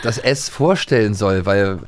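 A man's voice: on the low side, measured pace. A man hosting a podcast about radio plays, talking fondly with many hesitations. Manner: hesitant, many fillers, fond. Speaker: podcast host. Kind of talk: casual chat.

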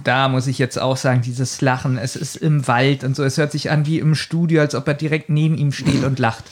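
A man's voice: mid-range voice, flat delivery, brisk. A man co-hosting a podcast in casual, joking conversation. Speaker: podcast co-host.

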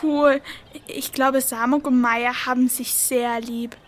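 A woman's voice: high voice, fairly even intonation, slow pace. A woman playing a small, boastful, childlike young male squirrel who exclaims eagerly in a children's audio drama. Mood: curious. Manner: exclaiming, stammers when timid.

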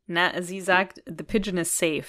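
A woman's voice: lowish voice, fairly even intonation, measured pace, fairly bright tone. A woman co-hosting a podcast, casually discussing an audio drama and often giggling as she talks. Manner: giggly, often laughing through sentences. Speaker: podcast co-host.

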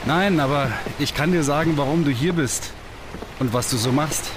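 A man's voice: on the high side, normal speed, noisy background, close to an everyday voice. A man playing an awkward, nervous young stage mentalist in a science-fiction radio play, stammering and hesitant. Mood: earnest. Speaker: voice actor in an audio drama.

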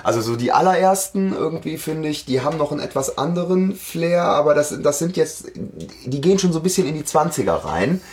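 A man's voice: a little high, sing-song, brisk, hardly any pauses, a little mellow. A man chatting casually as co-host of a fan podcast.

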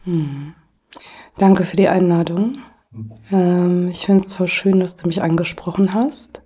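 A middle-aged woman speaking as a podcast guest, thoughtful and hesitant with frequent pauses and fillers. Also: fairly low pitch, steady tone, dark tone, husky. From Germany. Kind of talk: reflective conversational interview.

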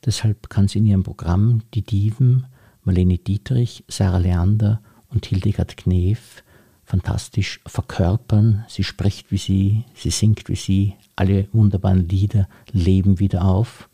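A man's voice: sits low, steady tone, a little slow, creaky, mellow, slightly rough. A man, hosting and interviewing on a podcast. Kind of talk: introduction and conversational interview.

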